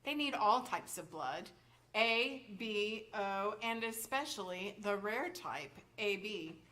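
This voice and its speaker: A woman's voice: mid-range voice, lively intonation, slow, sharp tone. A woman reading a scripted public service announcement on stage. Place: indoor library stage performance.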